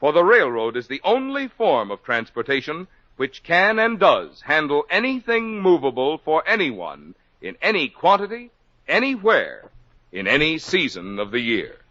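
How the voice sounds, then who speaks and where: A man's voice: a little high, wildly swooping pitch, moderate pace, sharp tone, dry recording. A man announcing a radio program and delivering a formal sponsor message in a polished, declamatory way. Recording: dry, dull 1949 radio broadcast.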